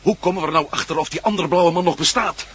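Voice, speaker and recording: Bright, tense, grumbling adventurer played by an actor. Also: male voice, fairly high pitch, fast talker, faint music behind, lightly acted. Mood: grim, wary. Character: wary, grumbling male adventurer creeping about at night. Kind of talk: radio drama acting.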